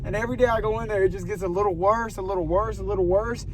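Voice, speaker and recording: Young guy, high-ish voice, sniffly, loose joking car vlog with clear road noise behind. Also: racing. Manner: chatty, joking, rambling with lots of fillers. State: sniffly with a cold. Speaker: vlogger. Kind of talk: casual chat to viewers while driving. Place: inside a moving car at night. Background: steady car and road noise.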